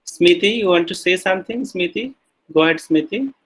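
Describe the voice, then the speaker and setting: A man's voice: sits high, expressive, a little fast. A man with an Indian English accent teaching a university class online, speaking with many fillers and calling on students to answer. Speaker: university lecturer.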